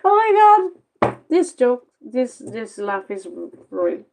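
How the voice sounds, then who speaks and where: A woman's voice: a little high, big pitch swings, slow pace, darkish tone. A Norwegian-accented woman, a native Norwegian speaker, giving a casual late-night tarot reading in a blunt, sarcastic, rambling way, sounding tired. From Norway. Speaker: tarot reader.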